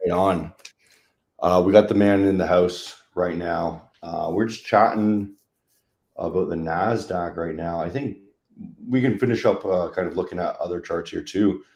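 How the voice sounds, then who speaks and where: A man's voice: low voice, unhurried, gravelly, a little mellow, roomy sound. A man hosting a casual trading livestream, chatting loosely over charts with plenty of fillers. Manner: laid-back, chatty, many fillers.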